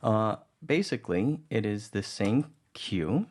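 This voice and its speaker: A man's voice: lowish voice, lively intonation, takes it slowly, a little mellow, faint music behind. A man giving an informal, conversational tutorial voice-over, explaining things as a producer. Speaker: audio drama producer, tutorial presenter.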